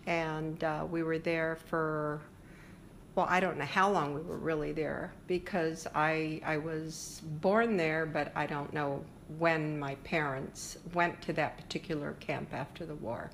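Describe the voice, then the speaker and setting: An older woman being interviewed about her family history, speaking reflectively and pausing often with fillers. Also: deep voice, steady tone, gravelly, slight background noise. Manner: reflective, hesitant with frequent fillers.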